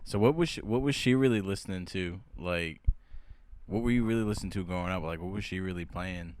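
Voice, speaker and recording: A man's voice: sits low, fairly quick, a little mellow. A man in his twenties hosting a podcast, asking easygoing, slangy questions in casual chat. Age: 20s. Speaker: podcast host. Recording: faint background noise.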